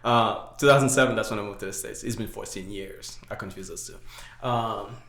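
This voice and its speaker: A man's voice: fairly low pitch, steady tone, moderate pace, a bit bright. A young man from Haiti with a slight Haitian accent, a podcast guest explaining things at length in casual chat, with many "uh" and "right?" fillers. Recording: echoey room.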